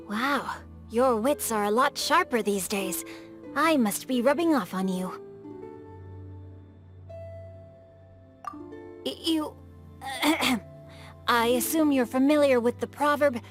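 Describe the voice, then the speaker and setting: A woman's voice: fairly high pitch, a bit bright. A woman voicing a refined, bookish, playful boy with eloquent, formal phrasing in a video game. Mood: bashful. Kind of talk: video game character voice acting.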